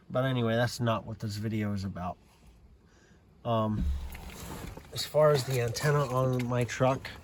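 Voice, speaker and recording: Slow-talking American man, halting and rambling. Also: medium pitch, darkish tone. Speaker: hobbyist vlogger showing his CB radio setup. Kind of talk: casual unscripted show-and-tell. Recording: amateur video with background noise.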